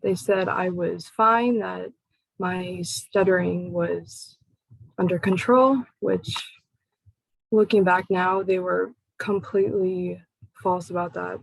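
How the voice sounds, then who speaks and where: Young woman, slow and halting personal talk, dry close sound. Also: lowish voice, fairly even intonation, creaky, dry recording, poor audio. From United States. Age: young adult. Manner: slow, halting, pausing between phrases. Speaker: speaker sharing her personal story. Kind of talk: personal talk to a support group.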